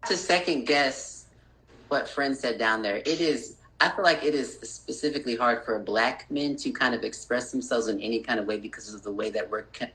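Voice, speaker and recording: A man from Texas, a podcast guest, telling stories in a playful, animated way. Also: mid-range voice, poor audio. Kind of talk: casual podcast chat.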